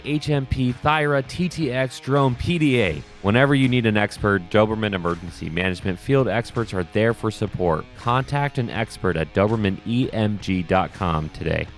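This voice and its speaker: A man reading sponsor spots in an upbeat, higher pitched, punchy voice, slightly off-mic at times. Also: normal speed, hardly any pauses, soft background music, natural voice. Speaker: ad reader.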